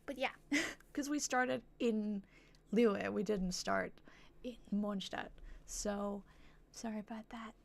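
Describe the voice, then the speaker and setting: A woman's voice: medium pitch, fairly even intonation, takes it slowly, gravelly. A woman streaming games, chatting casually in a rambling, giggly way that circles back on itself. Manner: rambling, giggly, repeats herself. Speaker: video game streamer. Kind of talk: casual livestream chat.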